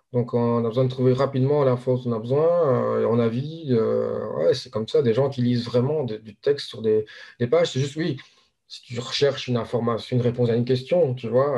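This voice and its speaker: A man's voice: medium pitch, gravelly, warm tone. A man from Belgium with a Belgian French accent, a podcast guest talking hesitantly with many fillers. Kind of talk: casual interview chat. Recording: slightly dull lo-fi audio.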